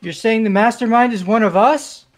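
Casting: man as panicky slacker youth, high voice, loud, excitable game character. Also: normal speed, soft background music, close to an everyday voice. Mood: alarmed. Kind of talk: amateur visual novel voice dub.

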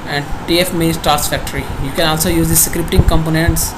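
A young man with a Pakistani accent giving a halting software demonstration in non-native English phrasing. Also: fairly high pitch. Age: young adult. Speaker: student presenter. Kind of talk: screen-recorded software demonstration. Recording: noisy home screencast, steady background hum. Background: constant clear background noise.

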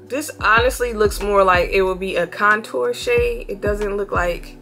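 A woman's voice: medium pitch, measured pace, gravelly, faint music behind. A young woman beauty vlogger with a cold, talking casually to viewers from her bedroom. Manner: relaxed, chatty, addresses viewers as y'all. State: has a cold, sniffly. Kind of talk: casual makeup demo. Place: bedroom, indoors, seated before a headboard.

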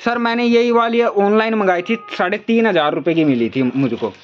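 A man's voice: high voice, animated, fairly quick, dull audio. A man hosting a walking tour of a crowded wholesale toy shop, asking the seller for prices and repeating each one back to his viewers. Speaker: market video host.

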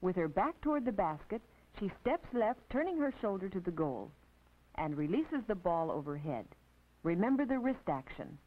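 An American woman narrating an instructional film as a teacher, in a precise, didactic, scripted manner with measured phrasing. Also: mid-range voice, big pitch swings. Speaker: narrator and physical education instructor. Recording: tinny, band-limited 1950s film soundtrack.

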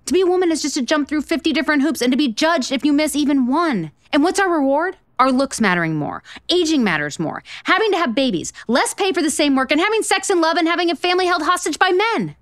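A woman's voice: sits high, sing-song, fast, hardly any pauses, no room sound, studio quality. An actress playing a blunt, exasperated single woman in a romantic comedy audio drama, sarcastic, emphatic and profane. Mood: indignant ranting. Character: blunt, exasperated, foul-mouthed single woman, sharp-tongued cynic.

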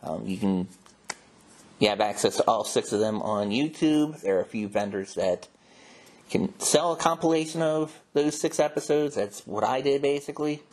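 Male host with a mid-pitched voice. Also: animated, moderate pace, a little mellow. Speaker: podcast host. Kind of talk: casual informative introduction.

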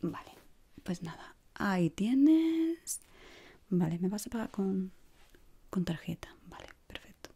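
A woman in her thirties with an accent from Spain, whispering softly and soothingly in an ASMR shop assistant roleplay, close to the mic. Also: medium pitch, big pitch swings, slow, pauses often, breathy edge. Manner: soft, soothing whispering and murmuring. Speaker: ASMR creator playing a shoe shop assistant. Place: small indoor room.

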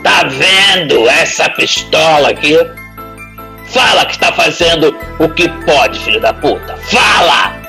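Menacing, gravelly crime boss. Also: male voice, on the high side, animated, pushed and bright, soft background music, full character voice. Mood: angry, threatening. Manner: commanding, threatening, drawn-out emphasis. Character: menacing, foul-mouthed crime boss bullying a young hacker. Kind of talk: audio drama acting.

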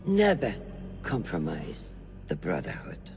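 A woman's voice: a bass voice, wildly swooping pitch, takes it slowly, creaky, darkish tone, exaggerated voice. A middle-aged woman playing a stern, solemn female master of an assassin order in a game, with a ceremonial, commanding manner. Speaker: voice actor. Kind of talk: dramatic game cutscene acting. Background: music.

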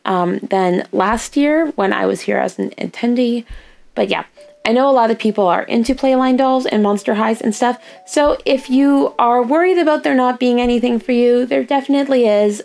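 A young Canadian woman with a hoarse, gravelly voice, chatting casually in a vlog voice-over about her weekend. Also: fairly high pitch, moderate pace, darkish tone. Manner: chatty, upbeat recounting. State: hoarse, lost voice. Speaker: doll artist vlogger.

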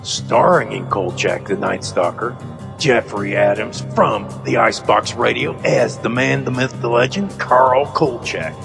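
A man's voice: mid-range voice, unhurried, creaky. A man reading scripted closing credits as an audio drama announcer. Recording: long reverb, loud music bed.

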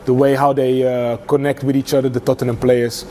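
Halting mellow-voiced male football pundit. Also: medium pitch, flat delivery, slight background noise. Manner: halting, many fillers and restarts. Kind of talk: studio match analysis. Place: TV studio.

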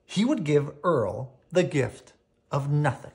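Storybook reader, bedtime read-aloud: unhurried, lively intonation, theatrical and playful. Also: mid-range voice, creaky. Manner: theatrical, with playful sound effects and pauses.

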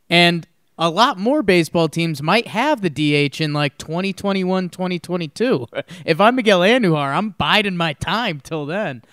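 A young man with a fairly high, crisp voice rambles excitedly through casual sports talk, stopping, restarting and laughing as he goes. He sits at a boom mic in a room at home, and the sound is close and dry. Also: dry recording. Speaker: podcast co-host. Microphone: studio mic on boom arm.

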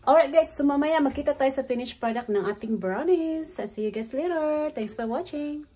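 A woman's voice: sits high, lively intonation, normal speed, mellow. A middle-aged woman with a Filipino accent, chatting casually to viewers as a home baking vlogger, mixing two languages as she goes. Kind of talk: casual chat while baking, Tagalog-English code-switching. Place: small home kitchen, indoors. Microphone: phone at arm's length or propped nearby. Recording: clearly noisy home kitchen recording.

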